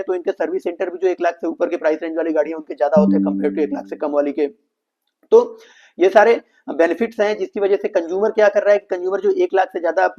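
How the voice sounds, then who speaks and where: A dull, lo-fi recording of an Indian man with a slightly high voice. He talks quickly as he explains financial results, addressing his viewers as friends. English business terms are mixed into his speech. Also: dark tone. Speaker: financial analysis presenter. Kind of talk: explainer commentary on an earnings call.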